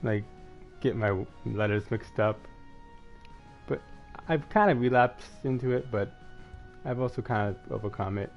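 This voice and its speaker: A man's voice: lowish voice, measured pace, vocal fry. Lisping young-sounding man streaming a game. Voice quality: slight lisp. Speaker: gaming streamer. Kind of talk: casual live gameplay commentary, chatting with viewers. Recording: dull lo-fi mic, music behind.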